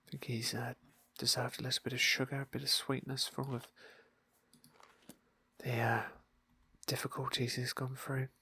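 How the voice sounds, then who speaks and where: A man speaking in a soft, breathy hush, often near a whisper, narrating an ASMR roleplay game playthrough. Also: mid-range voice, little pitch movement, moderate pace, pauses often. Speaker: ASMR gaming video host and roleplayer. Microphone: close microphone, dry.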